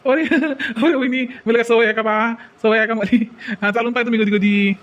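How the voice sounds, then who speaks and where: A man's voice: very high-pitched, steady tone, moderate pace, a bit bright, highs cut off, slight background noise. A man playing an exasperated, talkative village father in a cartoon, grumbly and matter-of-fact. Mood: flustered.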